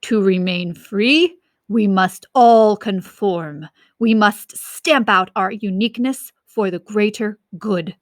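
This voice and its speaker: A woman voicing a creepy, pompous male dictator in a storybook, commanding and deliberate. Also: medium pitch, dry recording, clean studio sound, natural voice. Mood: solemn, controlling.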